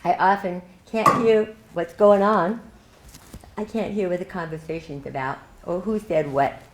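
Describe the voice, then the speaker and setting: A woman's voice: lowish voice, a little slow. An older woman giving a keynote speech at a club meeting, telling her story in a reflective way with light laughs. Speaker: keynote speaker. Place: meeting hall. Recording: echoey hall, faint noise.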